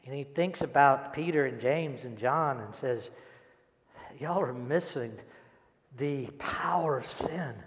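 An older man preaches a sermon and reads scripture with dramatic, emphatic delivery and deliberate pauses. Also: medium pitch. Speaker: pastor. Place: large church auditorium stage, indoors. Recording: echoey hall, long reverb.

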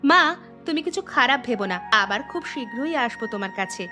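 A woman voicing a young married daughter in a cartoon who speaks quickly and pleads. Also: a little high, animated, over music, lightly acted. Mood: apologetic. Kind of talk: cartoon voice acting.

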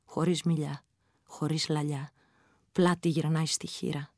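A woman performing as a grave storyteller, narrating a folk lament in hushed verse. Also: low-pitched, level tone, no room sound, studio quality.

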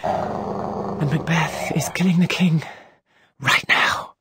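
An actress playing a ruthless, scornful murderous wife in a theatrical Shakespearean drama, intense, commanding and breathy. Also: female voice, low voice, slow, very noisy. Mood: hushed, bold.

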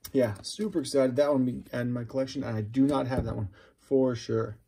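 A middle-aged man with a mellow, gravelly voice gives casual, unscripted commentary while handling trading cards. His tone is husky and creaky, and he muses aloud as if talking to himself. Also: medium pitch, steady tone, brisk. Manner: chatty, musing aloud to himself. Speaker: host of a trading card opening video. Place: indoors at a wooden table.

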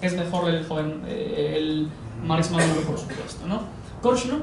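A man's voice: on the high side, distant mic, slight background noise. Young man lecturing in an echoey room. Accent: Mexican Spanish. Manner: measured, explanatory, frequent hedging fillers. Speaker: course lecturer. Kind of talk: academic lecture on philosophy. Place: living room with sofas, indoors. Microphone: far camera.

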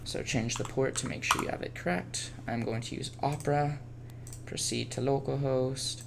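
Male tutorial presenter, informal screencast narration, medium pitch with noticeable vocal fry. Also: fairly even intonation, normal speed. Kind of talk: casual software tutorial screencast voice-over. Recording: faint background noise and keyboard typing.

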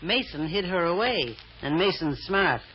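A woman's voice: fairly low pitch, expressive, a little slow. An actress playing a shrewd, bossy, scheming woman in an old radio drama, clipped and sardonic. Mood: calculating. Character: shrewd, bossy woman scheming and controlling her tippling companion. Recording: dull, faintly noisy old radio recording.

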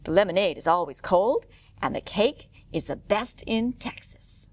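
A middle-aged woman storyteller reading a picture book aloud to children in an expressive way. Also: mid-range voice, measured pace. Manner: expressive, clearly paced for children. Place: indoor room, standing before a painted wall.

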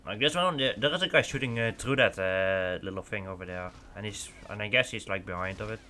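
A man's voice: lowish voice, brisk, pushed and bright, a little hiss or hum. Dutch man rambling over gameplay. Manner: rambling, filler-heavy with many restarts. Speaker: gaming channel host. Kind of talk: casual let's play commentary.

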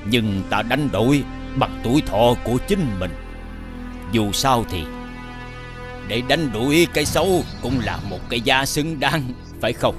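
Fairy-tale animation sage: elderly male teacher of magic, man acting, wise, measured, over music. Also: mid-range voice, expressive, close to an everyday voice. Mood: solemn teaching. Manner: instructive, measured. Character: wise, solemn old male magic master. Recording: music behind the voice.

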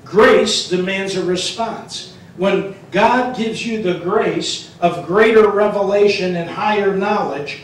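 Older male pastor, high voice, slow emphatic sermon, heard from across a very echoey church. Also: distant mic, a little hiss or hum. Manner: emphatic, asks the room questions and answers them, ends points with "okay?"; moves around the stage and gestures while preaching. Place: church sanctuary with large wall text. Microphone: room mic, speaker far from it.

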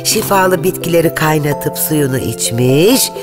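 A woman storyteller reading a scripted children's fairy tale in an expressive, measured way. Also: low-pitched, music in the background.

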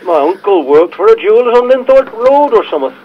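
A man's voice: sits high, sing-song, a little fast. A man playing an unassuming, hesitant caller in an audio drama, heard over a dull call-in line. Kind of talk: audio drama staged as a podcast.

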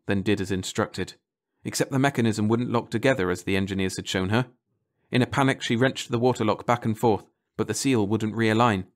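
A man reading a scripted story as an audiobook narrator. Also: lowish voice.

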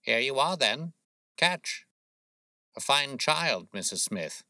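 A man voicing a shrewd, smooth-talking gentleman detective, suave and probing, in an audiobook story. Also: mid-range voice, very animated, slow, dry recording, clean studio sound, pitched above the performer's own voice, full character voice. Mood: genial, coaxing.

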